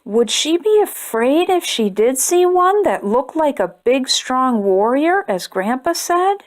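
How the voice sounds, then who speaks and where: A woman hosting a storytime channel, reading a children's picture book aloud in a gentle, expressive way. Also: high voice, unhurried. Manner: gentle, expressive reading, brighter and chattier when addressing viewers. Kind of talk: read-aloud storytelling for kids.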